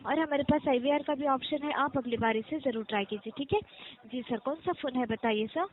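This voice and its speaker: A woman's voice: sits high, brisk. A woman working as a customer care agent on a phone call, speaking politely and formally in scripted support phrases and step-by-step instructions. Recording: muffled narrow phone-call line.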